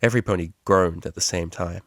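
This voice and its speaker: A man's voice: low voice, fairly quick, a bit bright, no echo, studio quality. A man reading a story aloud as scripted audiobook narration. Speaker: amateur audiobook reader.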